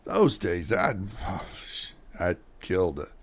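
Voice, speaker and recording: An actor playing a boastful, washed-up alcoholic stage magician in a radio mystery play, pompous and condescending. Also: male voice, deep voice, wildly swooping pitch, extremely slow, full character voice. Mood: wistful.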